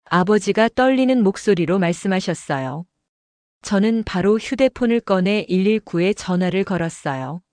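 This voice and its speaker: A woman narrates an audio drama in the first person with a calm storytelling delivery. Her pitch sits in the middle range. The studio recording is close and entirely dry. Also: moderate pace, no room sound.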